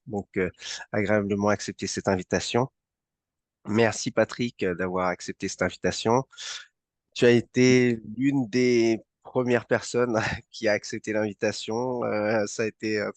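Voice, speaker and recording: A male interview host with a low voice, recorded dry and close with no room sound. He talks in a halting way, stopping and restarting his questions and filling gaps with hesitations. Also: dry recording. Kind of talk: interview hosting.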